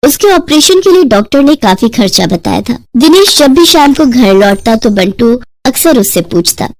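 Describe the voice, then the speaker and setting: A woman's voice: on the high side, big pitch swings, moderate pace, no echo, poor audio. A woman narrating a scripted moral story as its storyteller.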